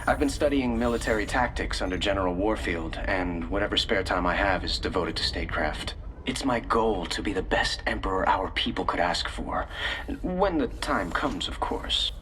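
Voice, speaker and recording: An actor playing an earnest young prince who speaks in a composed, courteous, formal way. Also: male voice, deep voice, lively intonation, moderate pace, a bit bright, a little hiss or hum.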